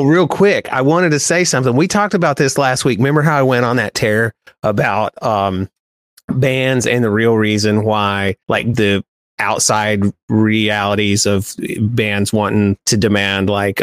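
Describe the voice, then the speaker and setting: Male podcast co-host, lower register, dry recording, casual but emphatic storyteller. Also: fairly even intonation, unhurried, no room sound.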